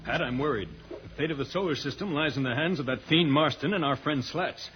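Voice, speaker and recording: A man's voice: on the high side, brisk, a bit bright, soft background music, natural voice. An actor playing a grave, urgent, heroic alien spaceman in an old-time radio serial. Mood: grave concern. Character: earnest, heroic alien spaceman, puzzled by Earth slang. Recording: noisy, muffled old radio recording.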